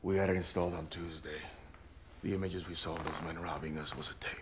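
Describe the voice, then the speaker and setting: An actor playing a cold, controlled, menacing casino boss in a film, speaking in curt commands. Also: male voice, deep voice, steady tone, fast. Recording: film audio with clear background noise.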